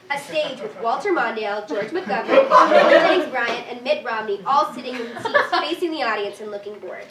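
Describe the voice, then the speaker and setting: Woman reading out script directions, highish voice, echoey room, mic far away. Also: expressive, moderate pace. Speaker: narrator reading stage directions. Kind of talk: scripted narration at a staged reading. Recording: distant echoey room, faint noise.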